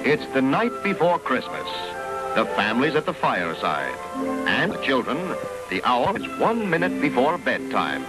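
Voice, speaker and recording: Theatrical American male narrator, widely varied pitch. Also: huge pitch swings, normal speed, loud music behind the voice, poor audio. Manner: storytelling, poetic, theatrical. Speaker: film narrator. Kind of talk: dramatic scripted promotional film narration.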